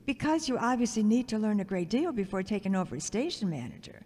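A woman playing a fond, gently teasing older mother in an audio drama. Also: mid-range voice, big pitch swings, quick pace. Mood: earnest. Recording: long echoey reverb.

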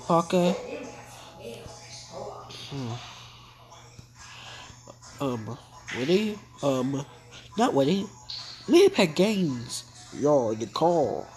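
Low voiced woman rambling thru a homemade cartoon, pitch jumping all over, slow, music playing behind. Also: a little mellow, poor audio. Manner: rambling, playful, jumps into squeaky character voices. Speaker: hobby animator narrating and voicing characters. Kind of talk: improvised cartoon play-along narration.

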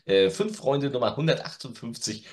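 A man's voice: mid-range voice, sing-song, normal speed. A man in his forties co-hosting a casual chat about new releases, opinionated and blunt, often interrupting himself. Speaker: co-host of an audio drama news show. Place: living room at home, on a video call.

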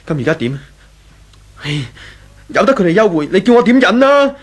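A man's voice: high-pitched, big pitch swings, normal speed. A man with a Hong Kong Cantonese accent playing a jealous, self-pitying young rich husband in a 1980s radio drama. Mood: agitated, jealous. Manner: emotional, self-pitying, impatient. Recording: 1983 radio drama with background music.

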